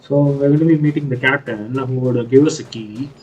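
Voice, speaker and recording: Halting male gamer, off the mic. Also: medium pitch, darkish tone, recorded from a distance, muffled recording, a little hiss or hum. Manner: halting, searching for words. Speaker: gaming video creator. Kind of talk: casual playthrough commentary.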